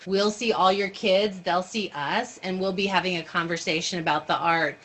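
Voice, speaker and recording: A woman's voice: fairly low pitch, fairly even intonation, moderate pace, a bit bright. A woman leading an informal online training for teachers, explaining step by step and checking in with her listeners over a video call. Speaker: workshop leader training teachers. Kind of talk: informal online training presentation with questions and answers. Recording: noisy video-call audio.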